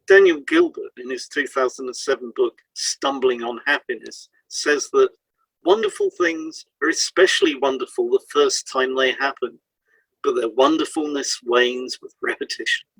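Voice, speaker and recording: Male co-host for scripted educational audio. Animated intonation, slightly rough-edged husky tone. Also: medium pitch, normal speed, no room sound, poor audio. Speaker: podcast co-host, hypnotherapist.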